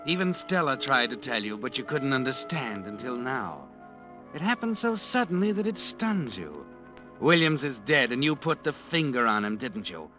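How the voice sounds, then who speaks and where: Man acting an insinuating narrator, ominous, mid-pitched, radio mystery, music behind, dull old recording. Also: very animated, moderate pace, close to an everyday voice. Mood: accusing. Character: knowing, taunting male narrator addressing the guilty man.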